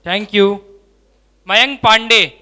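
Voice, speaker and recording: A man's voice: sits high, slow pace, sharp tone. A man hosting an orientation, briefly thanking each speaker and calling the next name. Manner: crisp, calls out names in turn. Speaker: orientation host calling students. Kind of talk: brief announcements.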